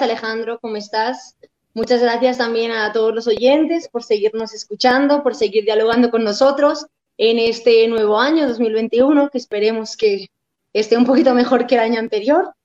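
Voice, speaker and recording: A woman co-hosting a radio talk program, speaking in a polite, measured way. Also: on the high side, darkish tone, muffled recording. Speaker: radio co-host.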